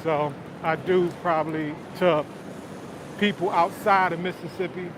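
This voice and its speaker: Man, Southern US accent, casual livestream host on foot, phone mic, fairly high voice, loud traffic behind. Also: a little slow. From Detroit, Michigan. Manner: relaxed, chatty, answers viewer comments, often says "man". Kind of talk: casual live-stream chat while walking. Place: outdoors on busy city streets. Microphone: phone held while walking. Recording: street noise. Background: city traffic and crowd sounds.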